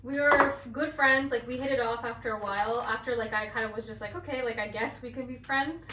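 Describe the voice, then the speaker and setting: A woman's voice: on the high side, a little fast. A young American woman chatting casually as a vlog co-host while coloring, talking in an upbeat way and using "like" often. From United States. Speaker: vlogger co-host. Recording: echoey room, distant mic, faint hiss.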